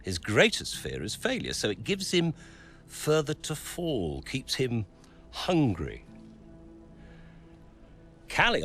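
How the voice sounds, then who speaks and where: A man playing a calculating, self-satisfied racing team executive in a game's interview scene, deliberate and smug, with thoughtful pauses. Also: lowish voice, a bit bright, full character voice. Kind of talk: documentary-style interview acting.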